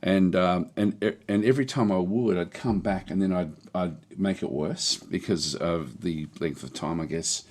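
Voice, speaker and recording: A middle-aged man streaming a game, chatting casually with a slightly hoarse voice that is on the mend, rambling with many restarts. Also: sits low, a little mellow. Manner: rambling, halting, lots of restarts and fillers. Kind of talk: casual live-stream chat.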